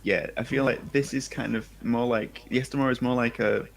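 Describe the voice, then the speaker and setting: A man's voice: mid-range voice, fairly even intonation, brisk, gravelly. A man, the author hosting a livestream, talking casually and hesitantly with many fillers. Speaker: author host.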